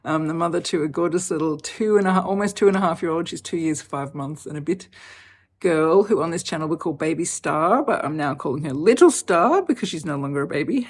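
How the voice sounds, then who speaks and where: A heavily pregnant woman in her fifties talking off the cuff in a personal vlog, chatty and animated, laughing often. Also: sits low, brisk, husky. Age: 50s. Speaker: vlogger.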